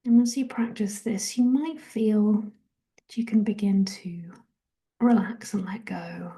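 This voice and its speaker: Very slow, soft, mellow woman guiding relaxation. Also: medium pitch. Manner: soft, breathy, half-whispered, gentle. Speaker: guided relaxation and meditation host. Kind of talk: guided meditation, soothing body scan instruction.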